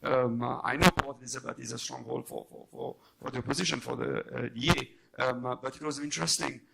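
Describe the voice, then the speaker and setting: A man speaking as a journalist on a debate panel, halting but emphatic in his unscripted remarks. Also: medium pitch, steady tone, gravelly, bright, forceful tone.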